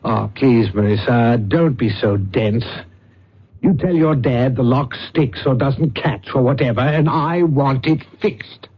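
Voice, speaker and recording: An actor playing a vain, testy psychic detective, middle-aged, in the theatrical style of old-time radio drama. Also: male voice, mid-range voice, measured pace, breathy edge. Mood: impatient, irritated. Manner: theatrical, testy, self-important. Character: vain, impatient psychic detective and master of disguise. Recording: muffled, hissy old radio recording.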